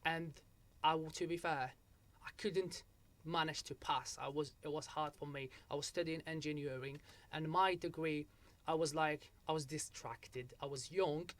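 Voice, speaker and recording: A man's voice: a little high, fairly even intonation, normal speed, a bit bright. Young Algerian man telling his story. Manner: storytelling with many restarts and repeated "so what happened?". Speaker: guest. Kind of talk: casual interview chat.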